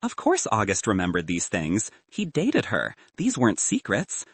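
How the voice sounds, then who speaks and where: A man's voice: fairly low pitch, wildly swooping pitch, normal speed, a bit bright, slightly rough, dry recording. A man reading a scripted story as an audiobook narrator.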